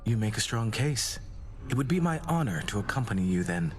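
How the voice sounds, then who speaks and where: An actor playing a courtly, melancholy male god of doom in a video game, speaking formally and with gentle devotion. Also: on the low side, sing-song, brisk, soft background music. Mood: courteous, reserved. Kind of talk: scripted video game romance dialogue.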